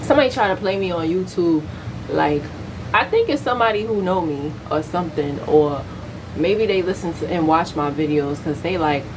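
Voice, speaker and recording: Candid American woman, low-pitched, noisy recording. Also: lively intonation, moderate pace. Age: 30s. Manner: candid, slangy, opinionated. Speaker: vlogger. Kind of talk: casual chat to camera.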